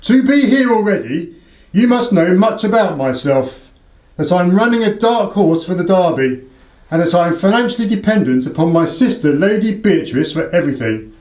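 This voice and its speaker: A man's voice: high-pitched, big pitch swings, moderate pace, a little mellow, roomy sound, rough recording. A man playing a haughty British aristocrat in a radio play, formal and emphatic in his speech. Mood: pleading, defensive. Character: haughty, indebted aristocratic male racehorse owner.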